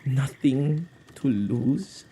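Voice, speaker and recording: Guy acting as a worried protective male witch, asmr roleplay, super mellow dark voice, bit of fry, halting and expressive. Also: mid-range voice. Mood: agitated, pleading. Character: anxious, protective young male witch, guardian of spirits. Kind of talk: intimate ASMR audio roleplay drama. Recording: faint background noise.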